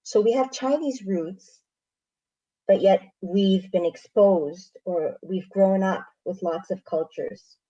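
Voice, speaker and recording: A woman from Canada, a missionary, answering interview questions in a measured, thoughtful way with frequent pauses. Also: mid-range voice, dark tone. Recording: dull lo-fi recording.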